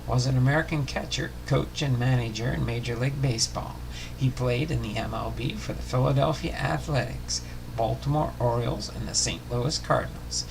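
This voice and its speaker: A man hosting a livestream, talking casually and reading aloud in a halting way with uneven phrasing. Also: medium pitch, creaky, noisy background. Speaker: host of a baseball biography livestream.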